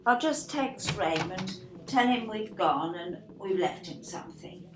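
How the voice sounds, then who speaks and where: An actress playing an anxious, fretful English mother in an audio drama, flustered and quick to apologise. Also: mid-range voice, animated, close to an everyday voice. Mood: nervous, apologetic. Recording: roomy echo, faint background noise.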